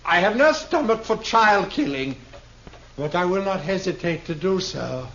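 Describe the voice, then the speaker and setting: An actor in a 1950s radio drama playing a tormented failed thespian on the run, speaking in florid, archaic, Shakespearean phrases. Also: male voice, sits high, lively intonation, measured pace, fairly bright tone, full character voice. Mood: frantic, threatening. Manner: theatrical, archaic florid speech, quoting Shakespeare. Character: desperate, tormented, ill-favoured failed actor turned armed fugitive. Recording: dull, noisy old radio recording.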